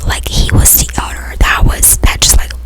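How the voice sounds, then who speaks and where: A woman playing a centuries-old vampire queen in an ASMR roleplay, telling stories in an intimate, casual, chatty way with a soft breathy whisper. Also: moderate pace, close to an everyday voice. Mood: wistful, enchanted. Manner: intimate, casual modern chatter, repeats words for emphasis. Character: centuries-old female vampire queen, doting, bossy, girlish storyteller. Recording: music behind the whispering.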